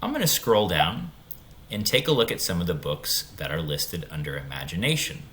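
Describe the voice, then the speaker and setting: Deep-voiced man giving an animated tutorial. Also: moderate pace, slight background noise. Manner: informal, instructional, addressing young students. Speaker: school tech tutorial presenter. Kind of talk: step-by-step screen tutorial.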